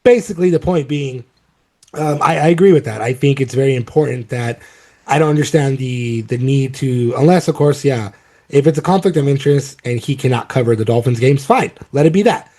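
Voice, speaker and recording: A man hosting a casual sports talk show while fighting a cold, so his voice sounds a little off. His tone is dark and mellow. He rambles along with frequent ums and restarts. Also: medium pitch, fairly quick. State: has a cold, voice a bit off. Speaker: podcast host.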